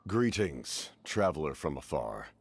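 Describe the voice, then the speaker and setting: An actor voicing an imposing, solemn male warrior commander in a video game, with formal, deliberate and courteous speech. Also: extremely low pitch, big pitch swings, breathy edge, full character voice. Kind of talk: scripted video game dialogue.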